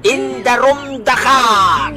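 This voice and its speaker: An actor playing an exasperated husband, scolding loudly and emphatically in a radio comedy drama. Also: male voice, very high voice, over music, exaggerated voice. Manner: loud, emphatic, drawn-out.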